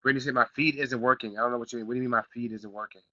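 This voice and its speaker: A middle-aged man from Philadelphia hosting a live online marketing class, slightly raspy from a sniffle, repeating himself and building to emphatic points. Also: mid-range voice, fairly even intonation, very fast, bright, forceful tone, no echo, lo-fi sound. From Philadelphia, Pennsylvania. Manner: repetitive, restarts phrases, builds to emphatic points. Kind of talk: live teleseminar class, casual coaching.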